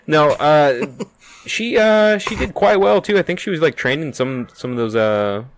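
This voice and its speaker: A man's voice: on the high side, lively intonation, measured pace, no room sound. A man co-hosting a podcast, chatting casually about movies in a grumbling, sarcastic manner. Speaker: podcast co-host.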